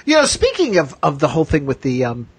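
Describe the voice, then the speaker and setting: Higher-pitched husky man chatting. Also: wildly swooping pitch, quick pace, no room sound. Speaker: podcast co-host. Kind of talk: casual pop-culture discussion.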